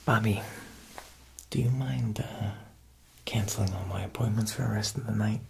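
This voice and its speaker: A young man playing a smooth, quietly menacing Italian mob boss tailor in an ASMR roleplay, speaking softly and unhurriedly at a close mic, with insinuating pauses. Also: on the low side, steady tone, slight background noise. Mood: attentive, courteous. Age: young adult. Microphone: close ASMR microphone.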